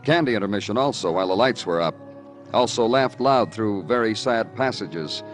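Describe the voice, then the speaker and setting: A weary American policeman , acted by a man, speaking in a low voice. He is terse, dry and hard-boiled, in the manner of old radio crime drama, with prominent music behind him. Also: animated, normal speed, close to an everyday voice. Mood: clipped narration. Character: terse, world-weary male police detective. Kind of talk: 1940s-50s American radio crime drama acting and narration. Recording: old radio broadcast recording.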